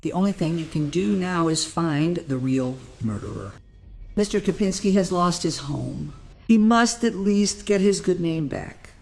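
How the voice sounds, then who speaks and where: Pointed, reproachful social worker. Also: female voice, lowish voice, lively intonation, measured pace, gravelly, a little mellow, full character voice. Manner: pointed, accusing. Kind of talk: radio drama acting.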